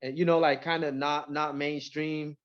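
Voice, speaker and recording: A man from Mexico and Central California, a rapper, chatting casually in an interview with a halting, repetitive delivery full of filler phrases. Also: fairly high pitch, little pitch movement, muffled recording. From born in Mexico, grew up in Central California. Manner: halting, repeats words, frequent "you know" and "like".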